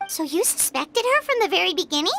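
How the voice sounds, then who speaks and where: A woman voicing a small, childlike fairy companion in a video game, squeaky, excitable and chatty, speaking in exclamations. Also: fast, gravelly, exaggerated voice. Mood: astonished. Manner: exclamatory, refers to herself in third person. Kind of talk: animated video game voice acting.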